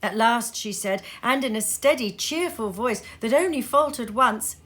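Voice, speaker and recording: A woman storyteller giving an expressive, measured narration of a story, with dramatic pauses. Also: on the high side. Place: sitting room.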